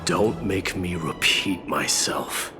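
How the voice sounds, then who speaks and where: Imposing royal fighter for a game. Low register, proud and terse, formal phrasing. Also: male voice, slow pace, music in the background. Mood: threatening. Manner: formal, curt, commanding. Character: proud warrior crown prince, stern, terse, imposing. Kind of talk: video game voice acting.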